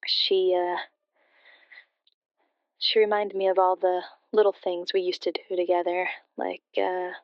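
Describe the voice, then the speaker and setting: Casting: actress as grieving female radio caller, hesitant, even intonation, smooth clean tone, slightly dull phone-in sound. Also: lowish voice, a little slow, long pauses, no room sound. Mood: hesitant, wistful. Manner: hesitant, subdued. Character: grieving, hesitant daughter phoning a late-night radio show. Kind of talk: confessional storytelling in radio drama. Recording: muffled, band-limited phone-in sound.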